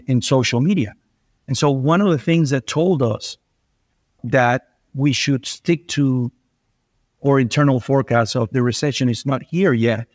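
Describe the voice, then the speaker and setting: A man's voice: medium pitch, dry recording, clean studio sound. A middle-aged man from Venezuela, a guest investor on a podcast, talking things through with frequent restarts and repeated words. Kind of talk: conversational podcast interview.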